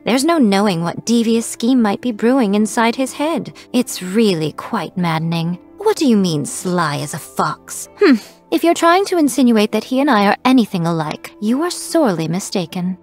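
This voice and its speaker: A woman plays a playful, haughty fox shrine maiden from a video game. Her mid-pitched voice is coy and knowing, with refined, deliberate phrasing that teases as it goes. Music plays behind her. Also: sing-song, darkish tone, lightly acted. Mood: wry, indignant. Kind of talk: scripted video game character voice lines. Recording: voice lines over background music.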